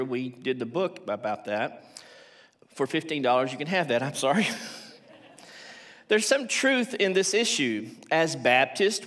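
A man's voice: on the high side, fairly bright tone. An older man giving a theology lecture from a podium on an auditorium stage, speaking conversationally with asides and rhetorical questions to the audience. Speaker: theology professor giving a conference talk. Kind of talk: academic lecture at a church conference. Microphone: podium microphone.

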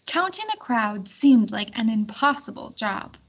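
A woman reading a storybook aloud as an expressive, animated, emphatic storyteller. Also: on the high side, measured pace, breathy edge, lo-fi sound. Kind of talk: expressive scripted storybook narration.

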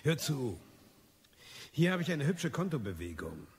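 A man's voice: medium pitch, sing-song, a little slow, creaky. An actor playing a sly, taunting male underground hacker in a radio drama, speaking in a conspiratorial manner. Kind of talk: German radio drama dialogue. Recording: long reverberant echo.

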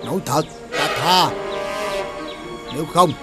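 High-pitched, blustering nobleman. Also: loud music behind the voice. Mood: suspicious, menacing. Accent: Southern Vietnamese. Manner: theatrical, halting with drawn-out hesitations. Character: haughty, blustering male nobleman marquis, sore loser. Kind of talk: costume fairy-tale film acting.

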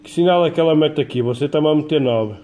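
A man with a European Portuguese accent chatting casually as an amateur radio operator, in a colloquial, halting way with repeated words. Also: fairly high pitch, warm tone, slight background noise. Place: indoors at a home radio desk.

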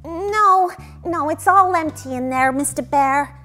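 A woman's voice: high-pitched, measured pace, pushed and bright, soft background music, voice pushed up. A woman playing a nervous, polite little boy in a children's story, hesitant and earnest. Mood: nervously polite.

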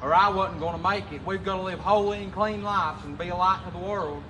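A man's voice: sits high, fairly quick. A man preaching a plain street sermon from scripture, outdoors. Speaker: guest preacher. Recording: very noisy outdoor street recording.

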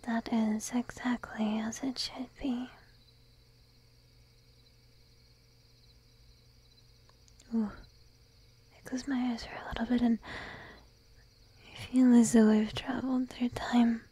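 A woman voicing a shy, needy, teasing sheep-girl girlfriend in an ASMR roleplay, speaking in a soft breathy whisper close to the microphone. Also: on the high side, little pitch movement. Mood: sleepy, content. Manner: slow, drowsy, playfully coaxing. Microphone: close microphone, dry.

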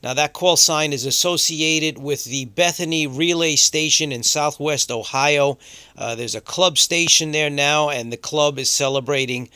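A man's voice: a little high, a little slow, sharp tone, clear, resonant tone, no echo. A man hosting a ham radio channel in a casual vlog narration style. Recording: noisy, radio static behind the voice.

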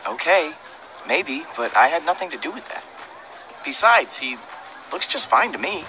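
Easygoing young rival: bright animated voice, awkwardly friendly, faint street noise behind. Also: male voice, fairly high pitch, normal speed, rough recording. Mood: sheepish. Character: laid-back, sheepish young male rival. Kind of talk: video game voice acting.

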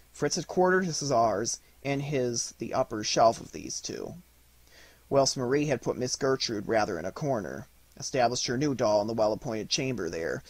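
A man narrates a storybook as an audiobook reader. His voice is mid-pitched and slightly husky, in a dry space with little echo.

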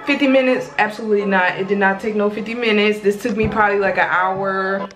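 A woman's voice: medium pitch, fairly even intonation, fast talker, a bit bright, roomy sound. A young woman in her twenties chatting to viewers while cooking at home, emphatic and casual, calling them y'all. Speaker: vlogger. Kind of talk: casual cook-with-me vlog. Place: small apartment kitchen.

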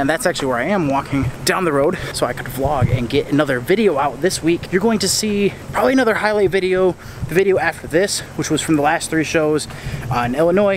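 Young American guy vlogging over street noise. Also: fairly high pitch. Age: young adult. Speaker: tour videographer and vlogger. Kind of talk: casual vlog to camera. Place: walking on a town street at night. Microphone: camera at arm's length. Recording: noisy outdoor street at night.

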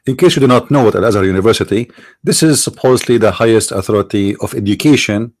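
A middle-aged man with an Arabic accent hosting a commentary monologue, halting, restarting words and stressing his points. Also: lowish voice. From Middle East. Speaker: online show host.

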